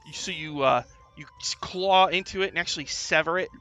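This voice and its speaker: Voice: medium pitch, brisk, sharp tone, soft background music. A game master narrating a tabletop fantasy game in a casual, conversational, improvised way.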